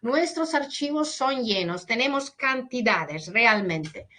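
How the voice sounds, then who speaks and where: A woman lectures slowly in a webinar, her voice bright and fairly high. She restarts words often and slips into English and Portuguese. The sound comes through a video call. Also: expressive. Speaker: veterinary pathologist lecturer.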